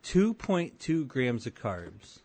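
A man in his thirties hosts a casual podcast chat. His voice sits at an ordinary middle pitch. He teases his guest with a dry, deadpan wit. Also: slow, a little hiss or hum. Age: 30s. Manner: dry, teasing humor. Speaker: podcast host.

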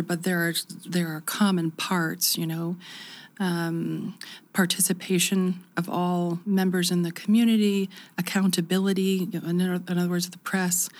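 A woman's voice: fairly low pitch, flat delivery, normal speed, darkish tone. A woman in her fifties from the United States, talking reflectively as an interview guest, with many restarts. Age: 50s. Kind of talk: conversational interview.

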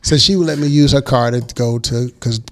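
A man's voice: medium pitch, a little fast. A man in his forties, a guest record producer, telling his story in a casual interview and acting out the quotes of the people in it.

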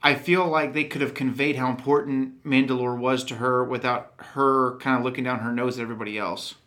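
Man from Texas, casual chat host, rambling, animated, cuts himself off mid-thought, average pitch. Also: a little fast. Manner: rambling, animated, self-interrupting. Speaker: podcast host.

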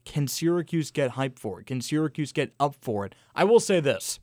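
A man co-hosting a sports talk radio show, loud, joking and energetic. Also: mid-range voice, normal speed, no echo, clean studio sound.

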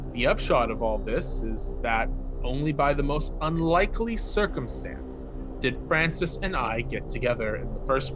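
A man reading a scripted first-person case report in a measured, formal, academic manner. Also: medium pitch, poor audio. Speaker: narrator, parapsychology researcher.

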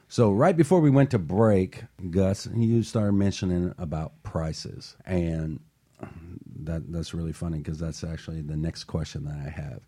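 A man's voice: low voice, measured pace, mellow. A man hosting a motivational talk show and coaching, talking earnestly and building to passionate emphasis.

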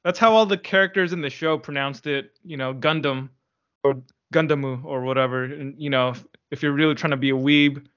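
A man's voice: medium pitch, steady tone, fairly quick, fairly bright tone, muffled recording. Wry laid-back American-raised man. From United States. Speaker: podcast co-host. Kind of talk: casual podcast chat.

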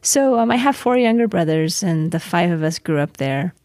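A woman from Southern California, a scholar and author, talking in a relaxed, thoughtful way with the odd um as an interview guest. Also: fairly low pitch, moderate pace, no echo. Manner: relaxed, thoughtful, with filler ums. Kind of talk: interview conversation.

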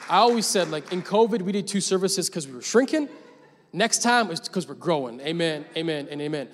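A man's voice: a little high, animated, a little fast, some chatter behind, a little hiss or hum. A young adult man preaching a sermon as pastor, telling a story casually and building to emphatic points. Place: large church hall. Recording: long church hall reverb.

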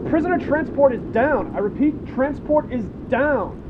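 An actor plays an embattled security officer in an action audio drama. The high voice swings widely as it barks urgent reports, with clear noise of gunfire and vehicles around it. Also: lively intonation, moderate pace, narrow, tinny audio. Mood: desperate. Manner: urgent, barked radio-report phrasing. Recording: noisy gunfire and vehicle ambience.

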